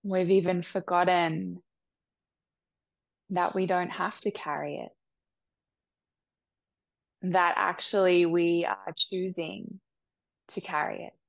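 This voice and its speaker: Slow, gravelly-voiced woman guiding meditation. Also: on the low side, no room sound, highs cut off. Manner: soft, slow, soothing instructions with long pauses. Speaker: meditation guide. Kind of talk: guided meditation.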